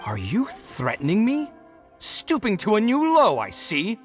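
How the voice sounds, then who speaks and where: A man's voice: sits high, wildly swooping pitch, measured pace, faint music behind, heavily acted. An actor playing a proud, easily riled young male architect in a video game, theatrical and exasperated. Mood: indignant.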